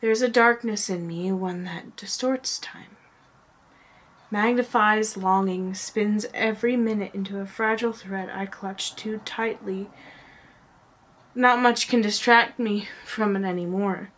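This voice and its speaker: A woman giving a dramatic spoken-word reading as a poet, earnest and often hushed, with drawn-out phrasing and pauses. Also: mid-range voice, steady tone, low-quality recording.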